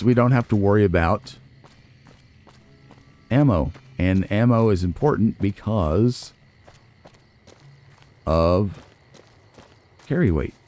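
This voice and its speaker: A man's voice: low voice, warm tone. A man giving casual let's play commentary, musing and thinking aloud as he goes. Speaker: let's player.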